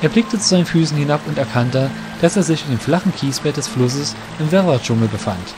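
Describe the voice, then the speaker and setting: A man's voice: a little high, lively intonation, a little fast. A man reading scripted narration for a fantasy audio drama as its storyteller. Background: music.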